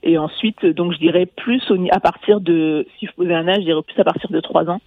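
A woman's voice: fairly low pitch, fairly even intonation, brisk, dry recording. A woman from Mali who grew up in France, a guest coach explaining things earnestly in a radio interview, with many fillers and restarts. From Mali, raised in France. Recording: phone-like line, dry, faint music.

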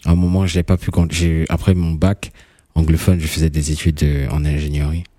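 Deep, dark-voiced man. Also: brisk, dry recording, studio quality. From Cameroon. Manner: relaxed, hesitant with fillers and restarts. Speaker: singer, interview guest. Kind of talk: casual interview chat.

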